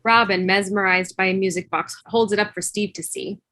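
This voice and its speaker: Woman, scripted narration, bright crisp edge, dry sound, table read over video call. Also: medium pitch, steady tone, a little fast, no room sound. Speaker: stage-direction reader in a table read.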